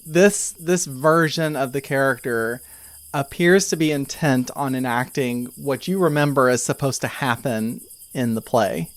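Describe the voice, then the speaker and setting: A man improvising tabletop game narration as game master, telling the story in a halting, conversational way. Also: medium pitch, no echo.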